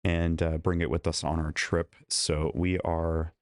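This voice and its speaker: A man's voice: low-pitched, level tone, a little slow, no echo. A man hosting a casual live stream from a hotel room while losing his voice, chatting and greeting viewers one by one through a studio microphone. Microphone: studio microphone plugged into a laptop.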